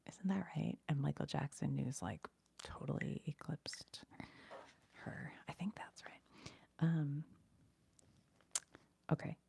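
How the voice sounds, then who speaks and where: A woman whispering as an ASMR streamer, reading trivia aloud in a hushed, breathy voice and musing casually as she goes. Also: low-pitched, slow, pauses often.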